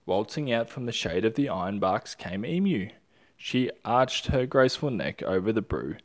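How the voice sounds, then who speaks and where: Animated low-voiced man reading a storybook. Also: moderate pace. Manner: animated, expressive. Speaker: storybook reader. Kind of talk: read-aloud children's story narration.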